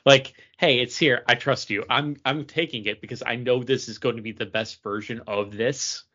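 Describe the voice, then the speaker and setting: A man's voice: mid-range voice, fairly even intonation, moderate pace, sharp tone, dull audio. A man chatting casually and emphatically as a podcast co-host, giving his opinions in a panel ranking.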